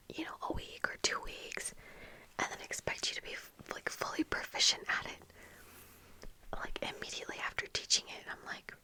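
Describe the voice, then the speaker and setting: Whispering young woman, close and crisp. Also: sharp tone, rough recording. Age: young adult. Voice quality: whispered, breathy, unvoiced. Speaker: ASMR video creator. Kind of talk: whispered ASMR, casual get-ready-with-me chat. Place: small room at home with bookshelves. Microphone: close desk microphone with fluffy windscreen.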